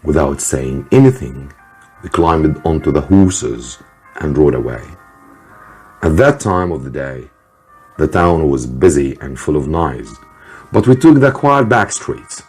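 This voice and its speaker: A man with a husky voice, reading an adventure story aloud in a deliberate, dramatised way, like a teacher. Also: very deep voice, very animated, darkish tone, soft background music. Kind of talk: scripted audiobook-style narration of an adventure story.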